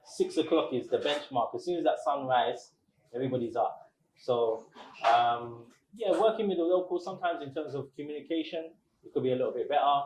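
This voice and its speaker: A man, a restaurant owner answering interview questions in a relaxed, measured way with many pauses. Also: medium pitch, noisy background. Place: small restaurant. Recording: roomy echo.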